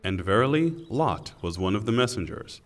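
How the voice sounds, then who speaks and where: A man reading a scripture translation in a solemn, formal, deliberate way, with pauses. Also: on the low side, animated. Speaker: translation reader. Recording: long hall reverb.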